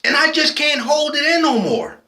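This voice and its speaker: A late-forties man, talking in a very high, bright voice. He is impassioned and preachy, speaking slowly and often restarting his sentences. The sound carries a roomy echo. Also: big pitch swings. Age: 40s. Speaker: vlogger. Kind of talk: personal video commentary.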